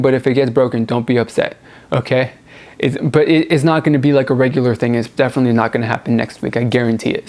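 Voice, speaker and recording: A man's voice: medium pitch, darkish tone. A man hosting a music review vlog, talking casually and enthusiastically in a rambling, informal way, often repeating words for emphasis. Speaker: host of a music review show.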